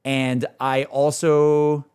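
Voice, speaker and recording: A man's voice: a little high, flat delivery, very slow, darkish tone, clear, resonant tone. A man giving casual, slangy reaction commentary as a streamer, hedging his opinions as he goes. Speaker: streamer reacting to game cutscenes. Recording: music playing behind.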